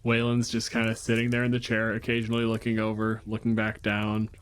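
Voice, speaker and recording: A man's voice: fairly low pitch, completely flat delivery, a little fast, clear, resonant tone. A man in a tabletop game, casually improvising narration of characters and scenery.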